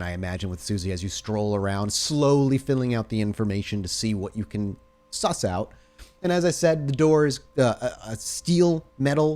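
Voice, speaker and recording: A man's voice: on the low side, darkish tone. A man improvising narration as game master of a tabletop game, describing each scene in detail.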